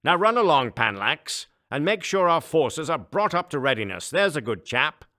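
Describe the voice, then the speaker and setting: A man's voice: on the high side, very animated, moderate pace, bright, forceful tone, voice pushed up. A man voicing a haughty, scheming alien emperor in an audiobook, imperious and condescending, grandiose with jovial menace. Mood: dismissive. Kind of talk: audiobook character voice.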